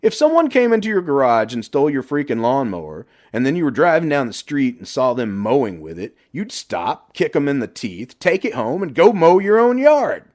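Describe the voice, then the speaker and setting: A man's voice: mid-range voice, wildly swooping pitch, normal speed, hardly any pauses, a little mellow, voice pushed up. A man voicing a brash middle-aged best friend who lectures and needles bluntly in an audiobook. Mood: urging. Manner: blunt, emphatic, sweary banter.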